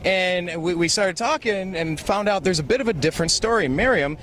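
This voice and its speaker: Male street reporter, fast and chatty, slightly high voice. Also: fairly bright tone. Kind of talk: street interview. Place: outdoors on a city street.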